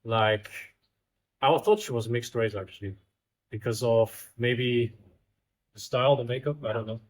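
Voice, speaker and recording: A man's voice: medium pitch, measured pace. Man, 20s, from the Netherlands, casual podcast guest; easygoing, jokey, a few restarts. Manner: easygoing and joking, with small restarts. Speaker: guest, researcher. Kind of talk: casual chat. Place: outdoors in Bali.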